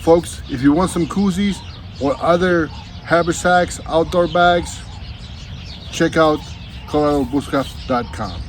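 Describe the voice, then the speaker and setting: A man's voice: fairly high pitch, unhurried, slight background noise. A man hosting a casual unboxing vlog, chatting to viewers in a folksy, slangy, laid-back way. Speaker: vlog host.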